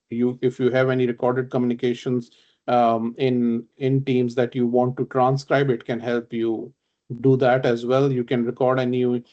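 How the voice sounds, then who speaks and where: Pakistani-born man demoing software in a webinar. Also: mid-range voice, highs cut off. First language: Urdu. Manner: explanatory, measured, with frequent fillers and restarts. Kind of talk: webinar product demo.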